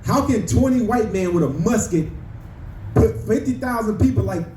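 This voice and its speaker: A man's voice: high voice, animated, moderate pace, mellow. A man preaching and debating, who keeps calling his listeners "brother" and asks others to read out verses. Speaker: street preacher. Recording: echoey, distant, with ambient noise.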